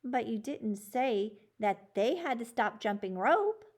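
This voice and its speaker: A woman's voice: fairly high pitch, expressive, takes it slowly, a little mellow, voice pushed up. A woman reading the part of an earnest schoolboy who speaks up emphatically in a children's storybook. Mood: earnest, insistent. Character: Julio, earnest schoolboy speaking up bravely to principal.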